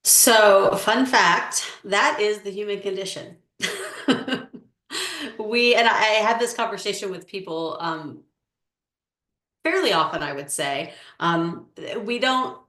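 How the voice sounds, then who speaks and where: A woman coach answering interview questions in a measured, explanatory way with frequent "um"s. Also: mid-range voice, a bit bright. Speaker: relationship coach. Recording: echoey room.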